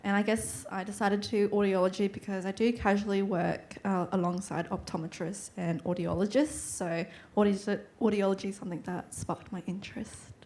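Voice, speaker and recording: A woman introduces herself briefly and to the point. Her voice has a warm, mellow tone. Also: mid-range voice, steady tone, normal speed, hardly any pauses. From Adelaide, Australia. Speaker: student.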